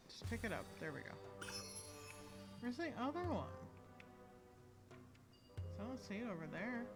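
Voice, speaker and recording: A woman giving casual live gameplay commentary, rambling along and playfully talking to the game. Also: medium pitch. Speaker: game streamer. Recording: game music behind the voice. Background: loud video game music.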